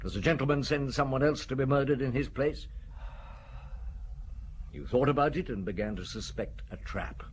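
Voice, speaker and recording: A man's voice: medium pitch, moderate pace, long pauses, lightly acted. A man playing a stern, relentless chief inspector in an audio drama, blunt and commanding as he accuses. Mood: relentless, accusing. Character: stern, relentless male police chief inspector, menacing and sure. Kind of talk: audio drama acting, interrogation and narration. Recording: clearly noisy drama recording.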